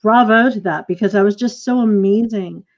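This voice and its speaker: A woman's voice: medium pitch, moderate pace, a little mellow. A middle-aged woman hosting a casual post-show discussion as the director, effusive and appreciative in her thanks, speaking over a video call. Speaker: play director and host. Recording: muffled video call audio.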